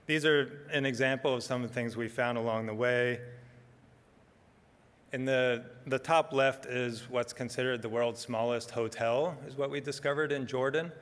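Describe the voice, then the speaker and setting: A soft-spoken middle-aged man giving a relaxed conference talk, with frequent ums as he walks through slides. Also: mid-range voice, normal speed, big-room sound. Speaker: conference speaker. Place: conference hall.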